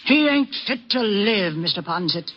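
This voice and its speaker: A woman's voice: medium pitch, expressive, unhurried. An actress playing a stern, anguished older frontier woman with a rural American accent, grave and plain-spoken, in an old-time western radio drama. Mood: bitter, resolute. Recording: dull vintage radio recording.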